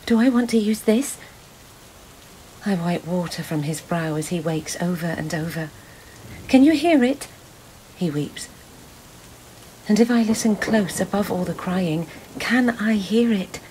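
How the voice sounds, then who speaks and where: A woman playing a weary, wry, anxious young boy's mother in an intimate audio-play monologue, confiding and at times dropping into breathy hushed tones. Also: lowish voice, expressive, measured pace, long pauses, a little hiss or hum, rough recording. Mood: weary, exasperated.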